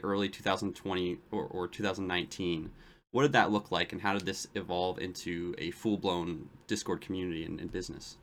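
A man's voice: deep voice, a bit bright. A man hosting a podcast, friendly and enthusiastic, stumbling a bit as he talks. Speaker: podcast host. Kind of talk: casual interview talk.

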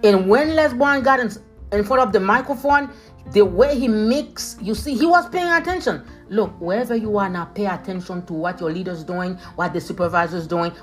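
A woman's voice: mid-range voice, normal speed, soft background music. A woman with a Haitian accent giving an emphatic motivational talk, repeating phrases and calling her listeners leaders. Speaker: motivational speaker.

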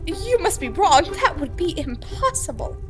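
A young woman playing a nervous, guilt-ridden daughter in an old-time radio drama, halting and theatrical. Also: very high voice, big pitch swings, music in the background. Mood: defensive, evasive.

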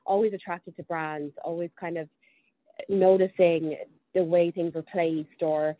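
Low-voiced Irish woman on a tinny line. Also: steady tone, unhurried. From Ireland. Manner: chatty, thinks aloud with frequent ums. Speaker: guest. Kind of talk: casual interview conversation.

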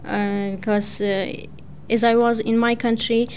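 A young woman from Pakistan, Persian her first language, talks slowly in careful, hesitant English. Her voice stays level and even, with little rise or fall. A faint hiss of noise sits behind her. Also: a little high. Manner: hesitant, halting non-native English with fillers. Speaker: English student speaking on community radio. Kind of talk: prepared personal talk.

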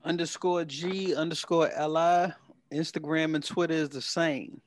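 A man co-hosting a podcast, teasing the host and laughing through drawn-out banter. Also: a little high, steady tone, no room sound. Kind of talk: casual chat.

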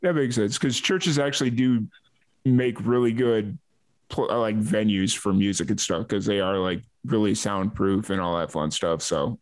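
A man hosting a casual music interview, halting as he talks and often repeating words. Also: fairly low pitch, a little mellow. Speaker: host.

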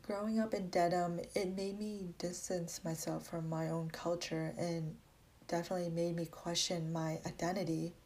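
Young American woman, slightly low voice. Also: little pitch movement, moderate pace, a little mellow. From grew up in Massachusetts, US, born in Vietnam. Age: young adult. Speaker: college student sharing a personal story. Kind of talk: reflective personal narrative.

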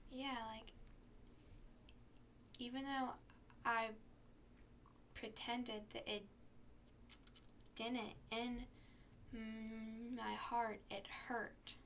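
A teenage girl talking as a podcast guest, soft-spoken and stuttering with repeated sounds. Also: on the high side, little pitch movement, extremely slow, long pauses, slight background noise. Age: teens. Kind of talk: podcast conversation.

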